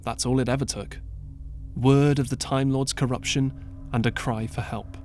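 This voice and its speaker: A man narrating an audiobook as scripted storytelling, measured and earnest, with dramatic pauses. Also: mid-range voice, steady tone, a little mellow. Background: clear background music.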